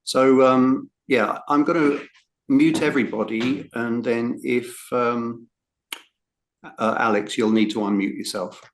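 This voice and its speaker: A man's voice: medium pitch, fairly even intonation, slow pace, slight background noise, rough recording. A man hosting an online meeting, speaking briefly and politely while handling the call. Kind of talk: casual meeting talk.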